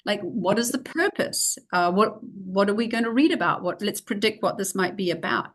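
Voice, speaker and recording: A middle-aged woman with a New Zealand accent talks through a slide lecture for teachers. Her pitch sits at a typical middle level, and she explains things plainly and practically, often pausing on fillers. She sounds like she is speaking into a webcam on a video call. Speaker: teacher trainer.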